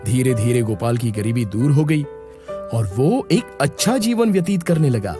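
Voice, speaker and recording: A man narrating a moral story for children in a steady, instructive way. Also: mid-range voice. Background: background music.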